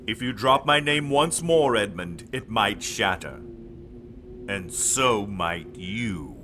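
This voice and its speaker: A man voicing a weary, disdainful dark lord in an audio drama, haughty, dry and coolly menacing. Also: on the low side, animated, slow. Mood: cold threat.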